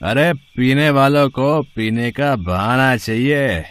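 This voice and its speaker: A man's voice: lowish voice, expressive, normal speed, a bit bright. A man playing a drunken, abusive husband and father in an audio story, scolding in a crude, accusing way. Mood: drunken singing. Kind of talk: acted dialogue in an audio story.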